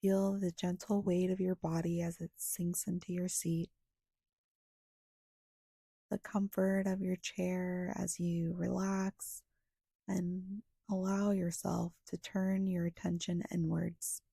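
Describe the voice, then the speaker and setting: A woman guiding a meditation in a soft, breathy, hushed and soothing voice, leaving long pauses between short phrases. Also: fairly low pitch, flat delivery, no echo, clean studio sound. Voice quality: soft, breathy, often slipping toward a whisper. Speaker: guided meditation guide. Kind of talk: guided meditation narration.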